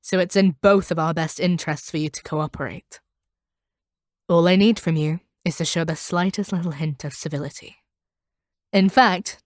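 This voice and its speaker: A proud female monster hunter, acted by a woman for a fantasy audio roleplay. Her voice sits on the low side, recorded close and dry. She talks down to her listener with stern, deliberate command and cold ruthlessness. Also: no room sound, lightly acted. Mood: cold, warning. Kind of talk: scripted audio roleplay acting.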